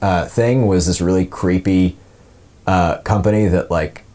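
A man's voice: low voice, a little slow, dark tone. A man in his twenties chatting casually as an interviewed voice actor, rambling and self-deprecating.

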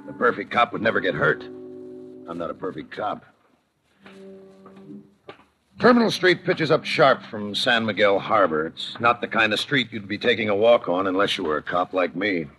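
An actor playing a weary, sardonic homicide lieutenant in clipped, wry 1950s radio crime drama style. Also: male voice, lowish voice, brisk, rough recording. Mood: brooding. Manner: clipped, deadpan, wry delivery. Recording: vintage radio recording with prominent music.

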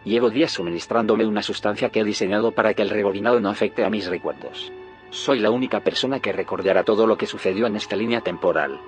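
An actor playing a determined, secretive inventor in an audio drama. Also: male voice, on the low side, fast talker, faint music behind, lightly acted. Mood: calm resolve. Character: male inventor of a time machine, determined, secretive.

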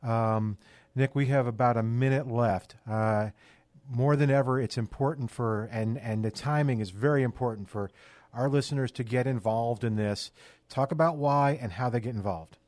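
A man with a medium-pitched voice hosts a radio interview. He addresses guest and listeners in a dry, studio-like sound. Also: moderate pace, darkish tone, dry recording. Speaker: radio show host.

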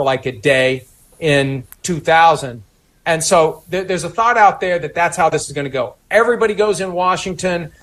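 Man, sports radio guest, fairly high voice, confident insider chat. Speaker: NFL commentator.

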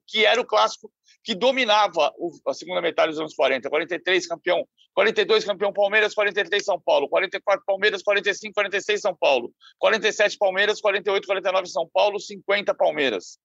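A man with a high, gravelly voice tells football history in quick runs of dates and names. The sound is dry and a little dull, without room echo. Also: fairly bright tone. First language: Portuguese. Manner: knowledgeable, rattles off dates and facts quickly. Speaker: sports journalist panelist. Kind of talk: podcast discussion, storytelling.

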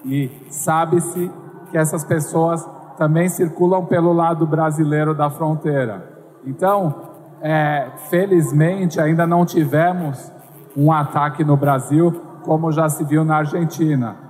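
A man giving a measured, explanatory interview answer. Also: a little high, fairly even intonation, darkish tone. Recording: echoey hall.

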